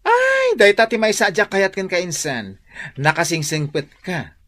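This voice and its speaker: A woman's voice: fairly low pitch, very animated, unhurried, a bit bright, heavily acted. An actress playing an outspoken woman character in a radio drama. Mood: sympathetic.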